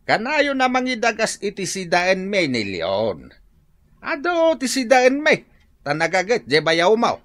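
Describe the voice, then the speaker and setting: An old Filipino father in a radio comedy drama. He grumbles and exclaims excitably in a bright, forceful voice. His pitch leaps wildly as he goes. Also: unhurried, heavily acted. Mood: complaining, boastful.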